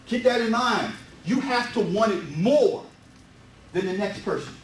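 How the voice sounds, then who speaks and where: A man's voice: high-pitched, animated, a little slow. A man giving a motivational seminar presentation in an emphatic, repetitive way, full of rhetorical questions. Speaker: real estate seminar presenter. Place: indoor meetup presentation room. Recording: distant, echoey live room with background noise.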